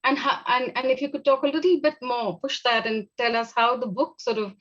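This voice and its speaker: A woman hosts a book panel. Her voice sits a little high and she puts questions in a loose, halting, conversational way. The recording is slightly lo-fi. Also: steady tone, fairly bright tone. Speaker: panel moderator. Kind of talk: live literary panel moderation.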